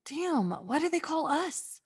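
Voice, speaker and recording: Female performer as sarcastic, bossy young female companion, high voice, exasperated, comic radio play. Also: big pitch swings, slow pace, full character voice. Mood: worried, bossy. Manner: sardonic, bossy. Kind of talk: comedic take on radio drama.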